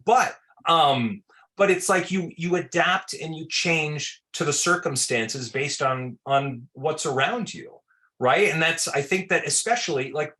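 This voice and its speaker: A man's voice: a little high, measured pace. Chatty man answering in an interview. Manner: loose, filler-heavy, repeats words; says he has a very loud voice. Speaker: film director.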